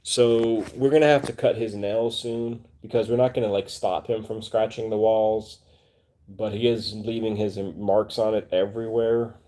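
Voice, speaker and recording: A man's voice: lowish voice, fairly even intonation, moderate pace, warm tone. A man in his forties hosting a casual cat livestream, rambling with fillers and restarts and talking to his cats between viewer comments. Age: 40s. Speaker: cat channel livestream host.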